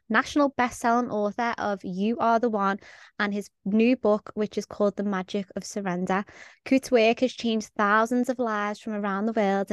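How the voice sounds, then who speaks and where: Smooth-voiced woman podcast host. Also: medium pitch, dry recording, studio quality. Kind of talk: podcast intro and interview.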